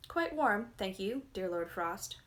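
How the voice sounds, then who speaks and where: A woman's voice: medium pitch, animated, slow, a bit bright. A woman voicing a polite, uncomplaining young girl who bravely endures the cold in a fairy tale. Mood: polite, strained. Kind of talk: storybook fairy-tale reading.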